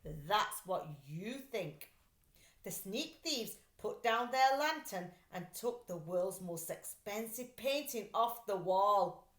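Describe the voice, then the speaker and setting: A woman reading a story aloud for children in an expressive, deliberate, dramatic way. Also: mid-range voice. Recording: roomy echo.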